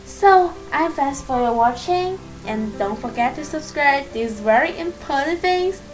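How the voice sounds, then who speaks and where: Chinese-accented woman teaching, slightly high voice. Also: animated, faint music behind, poor audio. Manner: halting, searching for English words, many fillers; encouraging, reassuring. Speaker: language teacher and channel host. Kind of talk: casual spoken lesson to camera.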